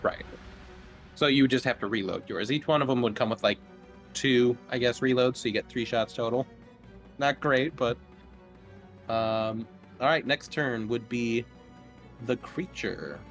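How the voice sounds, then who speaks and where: A male game master talks casually through a tabletop horror session. He improvises his descriptions haltingly, in a medium-pitched voice. Music plays faintly behind him. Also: fairly bright tone.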